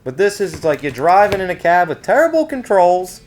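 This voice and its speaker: A man's voice: high voice, big pitch swings, a little fast, slight background noise. A man giving a casual, rambling game review in an exasperated, complaining way.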